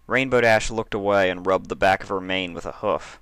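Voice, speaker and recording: A man's voice: low voice, a little fast, a bit bright, clear, resonant tone, dry recording. A man reading a story aloud as a scripted narration. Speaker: fan fiction reader.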